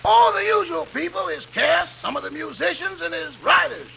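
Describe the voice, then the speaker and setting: A put-upon household servant from a vintage radio comedy, cracking wise in a heavily gravelled, piercingly bright voice. The delivery is dry and punchy, over a faintly noisy old recording. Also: male voice, very high-pitched, normal speed, exaggerated voice. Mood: matter-of-fact, wry. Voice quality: very gravelly, rasping. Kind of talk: 1950s radio comedy acting.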